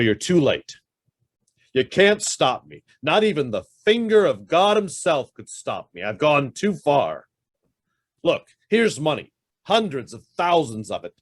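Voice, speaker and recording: A man's voice: lowish voice, dry recording, heavily acted. Man acting a guilt-ridden embezzler, wildly swinging intonation. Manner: dramatic, emphatic, halting confessional delivery. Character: guilt-ridden embezzler on the run, fervent, self-lacerating. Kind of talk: stage-style radio drama acting.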